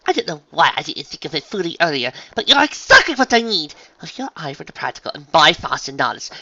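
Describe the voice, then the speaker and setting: A man voicing a refined, excitable female unicorn dressmaker in a story, with prim, effusive, theatrical delivery. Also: high voice, no room sound, lo-fi sound, voice pushed up, full character voice.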